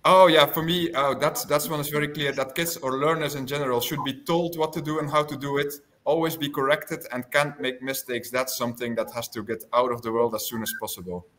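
A man's voice: mid-range voice, fairly even intonation, a little fast, hardly any pauses, a bit bright. A man in his forties from Belgium giving plain, decided answers as a panelist on a video call. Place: small room indoors, on a video call.

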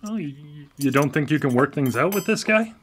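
A man's voice: on the high side, expressive, brisk, a bit bright, a little hiss or hum. An actor playing a hapless, amiable blind man, a younger brother who talks hesitantly, in a contemporary radio drama. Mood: sheepish. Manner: hesitant, stammering.

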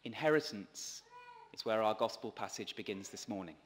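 A man's voice: lowish voice, expressive, normal speed. Male priest preaching in a reverberant church. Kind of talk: sermon read from a script. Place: large stone church, at a pulpit. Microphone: gooseneck lectern microphone.

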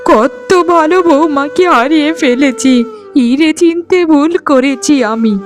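A woman voicing a remorseful, mournful old village mother-in-law in a cartoon, with music clearly behind her. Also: high-pitched, measured pace. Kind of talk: animated cartoon dialogue.

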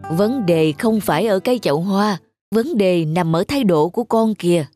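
An actor playing a stern, principled middle-aged businessman father in an animated drama, with a firm, lecturing manner. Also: high voice, normal speed, faint music behind.

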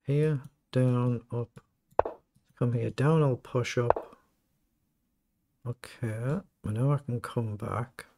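Croaky middle-aged male streamer, gravelly, mid-pitched, thinks aloud over games; noisy home recording with clicks. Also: fairly even intonation, takes it slowly, pauses often. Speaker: chess streamer. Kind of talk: live game commentary.

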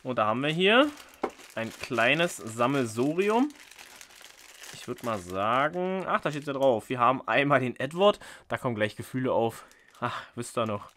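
A man's voice: mid-range voice, expressive, moderate pace, fairly bright tone, a little hiss or hum. A man hosting a casual unboxing vlog indoors, chatty and jokey, thinking aloud with fillers as he goes. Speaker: fishing channel host. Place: indoors at a table. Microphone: off-camera microphone, top-down camera.

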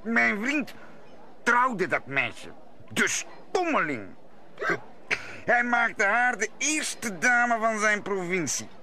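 Brooding bitter musketeer in a radio play, high forceful voice, dramatic. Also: male voice, big pitch swings, slight background noise, exaggerated voice. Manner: deliberate, dramatic storytelling. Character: brooding, bitter nobleman musketeer, worldly and grave. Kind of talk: old Dutch radio play acting.

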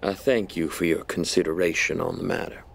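An actor playing a smug, menacing army general in an audio drama, with an insinuating, mock-polite manner. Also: male voice, deep voice, level tone, brisk, vocal fry, fairly bright tone, breathy edge, heavily acted.

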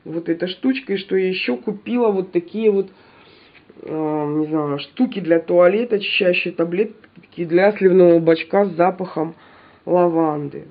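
A woman's voice: lowish voice, normal speed, narrow, tinny audio. Stuffy-nosed woman chatting through a haul. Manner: chatty, animated, addresses viewers directly. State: stuffy nose from a cold. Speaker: shopping haul vlogger. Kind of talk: casual haul video chat to viewers.

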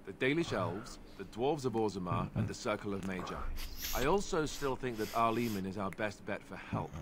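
An actor playing an earnest, wry young warrior in a fantasy video game, with a sardonic, conversational way of talking. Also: male voice, on the low side, lively intonation, moderate pace, background noise. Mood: thoughtful. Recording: game audio with clear background ambience.

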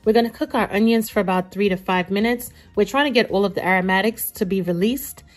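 A woman's voice: medium pitch, fast. A woman narrating a recipe casually as a cooking host, chatty and playful, talking straight to viewers.

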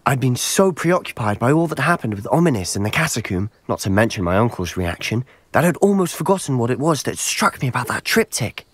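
Intense schoolboy wizard, animated. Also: male voice, medium pitch, fairly quick, few pauses, husky. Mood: earnest, brooding. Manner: intense, articulate. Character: determined, hot-headed teenage schoolboy wizard, loyal brother. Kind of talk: dramatic video game acting. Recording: music behind the voice.

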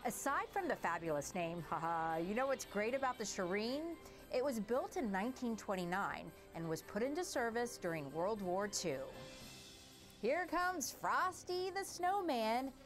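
A woman's voice: medium pitch, expressive, normal speed, soft background music. A woman co-hosting a parade broadcast with cheery, playful, upbeat scripted commentary.

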